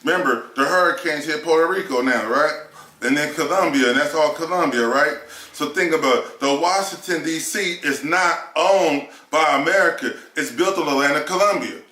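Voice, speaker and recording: A man's voice: fairly high pitch, moderate pace, pushed and bright. A man in a heated casual discussion, arguing emphatically with rhetorical questions and profanity. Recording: roomy echo.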